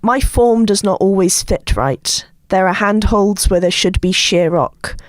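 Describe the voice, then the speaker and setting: A woman, co-hosting a poetry podcast in a halting, hesitant way. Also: medium pitch, dry recording, clean studio sound. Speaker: podcast co-host and poet. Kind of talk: casual podcast chat and poem reading.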